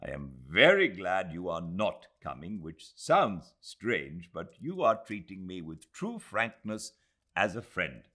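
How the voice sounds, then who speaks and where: A man voicing an eager, humble, excitable young Indian host who speaks with effusive courtesy, in an audiobook. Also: deep voice, slow, full character voice. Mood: warm, sincere.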